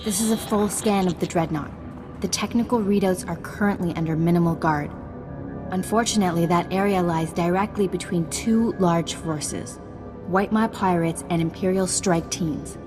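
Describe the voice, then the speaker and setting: Woman acting caring Jedi ally, game dialogue, fairly low voice, measured, formal, earnest. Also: over music, natural voice.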